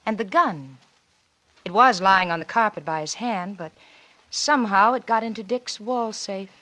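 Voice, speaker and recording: An actress playing a cunning, coolly blackmailing wife in a 1940s radio suspense drama, with a coy, teasing, insinuating manner. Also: female voice, medium pitch, normal speed, bright, forceful tone, natural voice. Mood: coolly calculating. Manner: coy, teasing, insinuating drawl with theatrical swoops.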